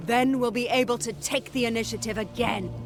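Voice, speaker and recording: A woman's voice: on the high side, steady tone, brisk, music in the background, close to an everyday voice. A woman playing a dutiful, grave military officer in a fantasy game, speaking formally as in a briefing. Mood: grave, urgent. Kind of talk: dramatic fantasy game cutscene acting.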